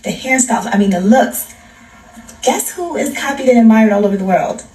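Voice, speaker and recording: American woman, sassy and emphatic, soft dark tone, recorded in an echoey room away from the mic. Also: on the high side, lively intonation, brisk. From United States. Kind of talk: casual opinion to camera. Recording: echoey, distant microphone.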